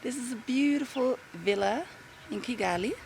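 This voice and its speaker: A woman with a rough voice, giving upbeat step-by-step business advice to camera from a garden outdoors. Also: on the high side, lively intonation, normal speed, darkish tone, a little hiss or hum. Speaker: business coach. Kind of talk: casual talk to camera. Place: garden of a private villa, outdoors.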